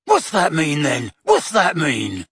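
Man doing a sneering thug, taunting, volatile, husky, dry. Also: mid-range voice, animated, normal speed, pushed and bright, no room sound, full character voice. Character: lumpy male henchman, mocking and volatile.

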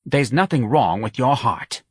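A man voicing a fretful, nagging older working-class mother with husky, scolding, colloquial speech in an audiobook. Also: mid-range voice, lively intonation, a little slow, no room sound, studio quality, pitched above the performer's own voice. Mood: snappish. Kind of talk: audiobook character voice.

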